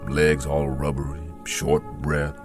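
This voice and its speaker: An actor playing a weary, aging, battered prizefighter, gentle and self-doubting, in a folksy, reflective manner in a vintage television drama. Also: male voice, very deep voice, completely flat delivery, takes it slowly. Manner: folksy, plain-spoken, reflective. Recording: archival TV soundtrack with music.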